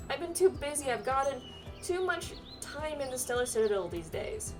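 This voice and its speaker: Woman playing a playful, high-voiced young fantasy pilgrim girl. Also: very animated, normal speed, far from the mic, over music. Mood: pleading, teasing. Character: playful, impatient, teasing young female pilgrim in a fantasy game.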